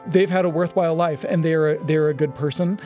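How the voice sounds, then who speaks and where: A middle-aged man as a podcast guest, talking casually and thoughtfully about film in a halting, reflective way. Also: on the high side, steady tone, over music. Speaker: podcast guest, audio drama producer.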